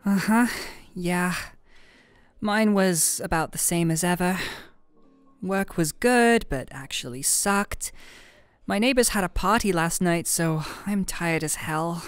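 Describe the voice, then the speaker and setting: A woman's voice: on the low side, takes it slowly, clean tone, dry recording. A woman playing a playful, affectionate young best friend in an ASMR roleplay, chatting casually and teasing her listener. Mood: chatty, exasperated. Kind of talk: ASMR roleplay audio, conversational acting. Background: soft background music.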